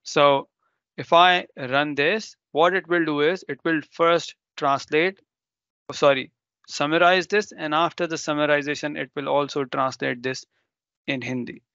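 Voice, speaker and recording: Gravelly man walking through a tech demo. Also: a little high, fairly even intonation, measured pace, sharp tone, no echo, dull audio. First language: Urdu. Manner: explanatory, with frequent ums and self-corrections. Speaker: presenter. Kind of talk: live technical demo walkthrough.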